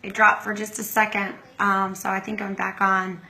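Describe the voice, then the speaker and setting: A hoarse middle-aged woman hosting a casual livestream, chatting and greeting viewers by name. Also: medium pitch, little pitch movement, moderate pace, pushed and bright. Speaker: livestream host.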